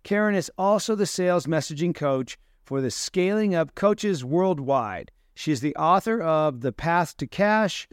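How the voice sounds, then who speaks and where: Podcast host man with a clear voice. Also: on the high side, animated, measured pace, hardly any pauses, no echo, studio quality. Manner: friendly, asks questions with small restarts. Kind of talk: interview hosting.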